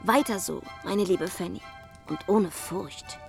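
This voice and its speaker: A woman's voice: fairly low pitch, unhurried, creaky, over music. An actress in a German radio play playing a confident, playful young society lady, reading letters aloud in a polished, arch way. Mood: gushing, arch.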